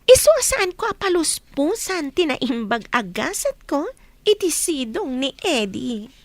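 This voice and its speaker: A woman's voice: high voice, very animated, a little slow, dry recording, exaggerated voice. A woman with an Ilocano accent acting a brazen, self-satisfied young mistress in a radio comedy-drama. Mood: smug.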